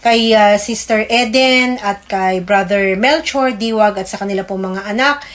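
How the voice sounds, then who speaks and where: A Filipino woman co-hosting a religious radio program, giving prayers and greetings politely and pausing as she recalls names. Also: medium pitch, fairly bright tone.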